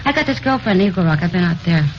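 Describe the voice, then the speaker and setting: A woman's voice: on the low side, expressive, very fast, close to an everyday voice. An actress playing a sullen, defiant teenage runaway girl in 1950s radio drama acting, slangy and dismissive. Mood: bored. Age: teens. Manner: slangy, tough-talking, dismissive. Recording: dull old radio broadcast with faint hiss.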